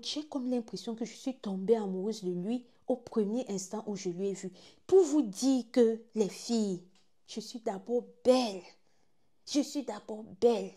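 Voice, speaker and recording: A Gabonese woman in her twenties with a Gabonese French accent, telling a personal story dramatically, dropping to hushed asides and rising to excited exclaims. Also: fairly high pitch. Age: 20s. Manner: animated, swings from hushed asides to loud exclaims, repeats phrases.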